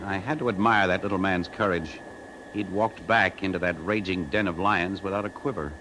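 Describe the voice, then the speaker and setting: Earnest low-voiced 1950s radio leading man. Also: fairly even intonation, normal speed, sharp tone, a little hiss or hum, poor audio. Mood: grave, reflective. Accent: American. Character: earnest advertising man turned rebel, narrating his story. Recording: 1950s radio drama, music underneath.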